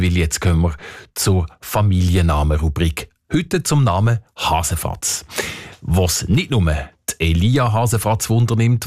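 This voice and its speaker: A man's voice: low voice, a little mellow, dry recording, clean studio sound. A man with a Swiss German accent hosting a radio show, announcing in a friendly, lightly playful way. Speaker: radio host. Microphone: studio microphone.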